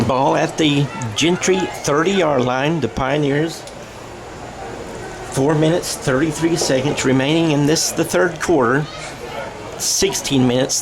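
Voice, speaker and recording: Male football play-by-play announcer, folksy and rambling, correcting himself as he goes, recorded outdoors with clear ambient noise. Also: fairly high pitch. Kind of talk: radio-style football commentary.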